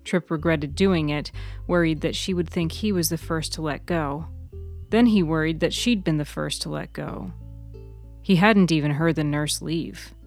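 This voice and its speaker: Low-voiced woman narrating fiction. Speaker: author and narrator.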